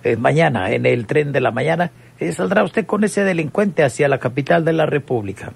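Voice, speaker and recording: A man playing a formal, hesitant town mayor with a husky, gravelly voice, hemming constantly in an old radionovela. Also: a little high, expressive, a little fast, full character voice. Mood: officious, hesitant. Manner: constant "eh" hesitations, stammering officialese.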